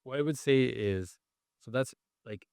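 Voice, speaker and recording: A man's voice: mid-range voice, a little slow, vocal fry, darkish tone, clean tone, no room sound, clean studio sound. A man from Canada, a writer and journalist being interviewed, answers thoughtfully with frequent "like"s and restarts. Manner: thoughtful, with many filler "like"s and restarts.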